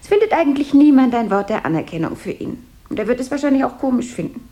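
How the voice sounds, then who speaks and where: A woman's voice: high-pitched, big pitch swings, quick pace, mellow. A woman playing a chatty, flighty, deferential secretary in a radio play, rambling on and eager to placate. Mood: earnest, rambling. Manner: rambling, babbling small talk, eager to placate. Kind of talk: 1970s German radio play acting. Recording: noisy 1970s radio drama recording.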